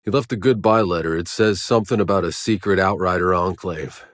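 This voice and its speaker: An actor playing a sardonic, gruff mercenary survivor in a video game, speaking in a wry, terse manner. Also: male voice, low voice, brisk, a bit bright, no echo, studio quality. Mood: sober, matter-of-fact.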